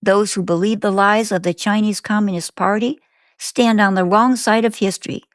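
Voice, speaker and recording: A woman reading scripted news as a newsreader, in a measured, formal manner. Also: mid-range voice, steady tone, dry recording, clean studio sound. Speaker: news reader.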